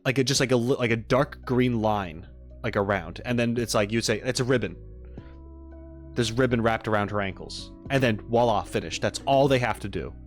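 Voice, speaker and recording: A man chatting informally on a reaction stream, explaining things and thinking out loud. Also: medium pitch, moderate pace, soft background music. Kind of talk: casual reaction stream chat.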